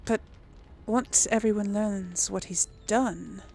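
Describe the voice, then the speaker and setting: Slow-paced woman with creaky voice. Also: mid-range voice, a little mellow. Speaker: gaming video host. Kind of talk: casual role-play game commentary.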